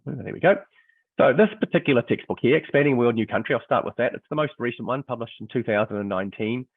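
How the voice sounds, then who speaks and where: A middle-aged New Zealand man with a croaky voice from illness, a history teacher giving a webinar presentation in a hesitant way, with many ums and restarts. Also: medium pitch, quick pace, no echo. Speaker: history teacher and textbook author. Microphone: video call. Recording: dry, phone-like video call audio.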